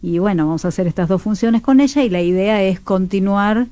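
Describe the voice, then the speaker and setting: A woman's voice: mid-range voice, brisk, mellow, no room sound. A woman with an Argentine Rioplatense accent, speaking conversationally as a radio drama actress and teacher being interviewed.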